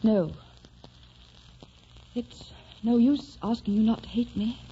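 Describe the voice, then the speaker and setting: On a dull old radio recording with faint music, a lovelorn young woman. Her voice is fairly high yet very soft and dark in tone, earnest and full of restrained sorrow. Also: fairly even intonation, slow, slight background noise. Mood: sorrowful, resigned. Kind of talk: old-time radio drama acting.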